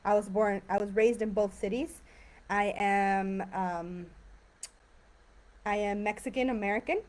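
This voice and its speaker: A woman's voice: medium pitch, measured pace. A young woman from California teaching English, talking casually on a live stream with many fillers. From San Diego and Tijuana. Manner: chatty, relaxed, many fillers.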